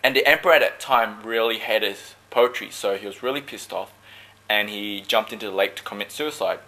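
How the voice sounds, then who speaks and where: A man from Malaysia who talks about his team sport in relaxed, joking interview answers. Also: fairly low pitch, brisk, rough recording. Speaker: dragon boat paddler.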